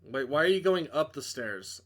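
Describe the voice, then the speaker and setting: Male player-narrator for a visual novel: dramatic reading, slightly high voice, animated intonation. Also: normal speed. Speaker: video game player and narrator.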